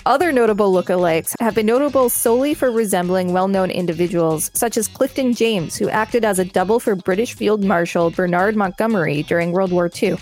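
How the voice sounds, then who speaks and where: A woman's voice: medium pitch, a little fast, hardly any pauses, a little mellow, soft background music. Female podcast co-host reading aloud. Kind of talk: reading an article aloud with casual commentary.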